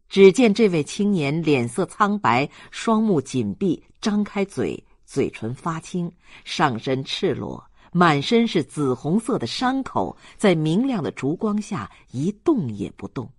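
An audiobook narrator reading a literary story in a measured, dramatic storytelling manner. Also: mid-range voice, slightly rough, no echo, studio quality.

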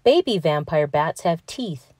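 Slow, lively woman reading to children. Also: low voice, slightly rough, no room sound, clean studio sound. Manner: deliberate, expressive storytelling for young children. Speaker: children's read-aloud narrator. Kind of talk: children's picture book read-aloud.

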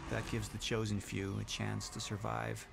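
An actor playing a ruthless middle-aged man who broods over controlling fate, narrating gravely and deliberately. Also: lowish voice, monotone, very noisy. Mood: grave, cold. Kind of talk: dramatic cinematic narration. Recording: music under the voice.

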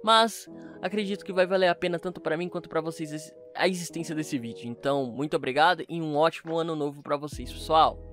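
A man's voice: fairly high pitch, fast, fairly bright tone. A man with a Brazilian accent giving a casual, chatty voice-over review to his online viewers. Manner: informal, chatty. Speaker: YouTube creator and fan dubber. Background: music.

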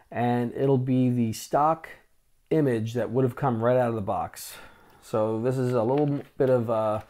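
A man in his forties hosting a vintage computer channel, talking casually through a hands-on restore at a desk in a small room, wearing a lapel mic, with lots of "uh" fillers. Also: mid-range voice. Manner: relaxed, chatty, frequent "uh" fillers. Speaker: vintage tech channel host. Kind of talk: casual hands-on tech walkthrough.

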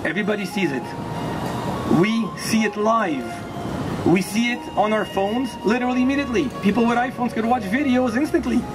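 A middle-aged man from Morocco giving an earnest, deliberate prepared speech at a rally, phrase by phrase, into a handheld microphone. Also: sits high, loud music behind the voice. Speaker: humanitarian organization founder, rally speaker. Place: outdoors on a city plaza. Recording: noisy outdoor street recording. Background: loud street traffic noise.

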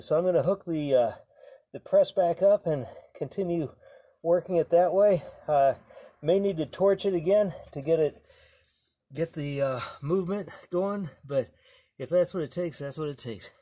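A man's voice: on the high side, warm tone, breathy edge, dry recording. A man narrating his own car repair in a casual how-to style, plain-spoken and wry, with many fillers and restarts. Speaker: home mechanic showing a car repair.

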